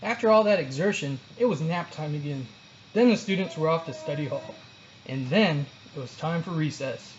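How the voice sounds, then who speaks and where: A storyteller narrating a children's book aloud in an expressive read-along style. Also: medium pitch, moderate pace, lo-fi sound, slight background noise.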